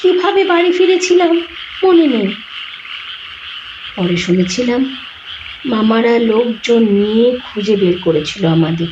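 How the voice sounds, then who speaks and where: High-pitched, expressive woman storyteller. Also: unhurried, pauses often. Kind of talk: dramatic horror story narration. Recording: roomy, dull sound with clear noise.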